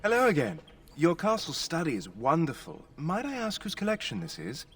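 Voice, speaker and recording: A man's voice: fairly high pitch, huge pitch swings, normal speed, distant mic. An actor playing an earnest, bookish young nobleman in a fantasy video game, speaking in a courteous, eager way. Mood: courteous interest.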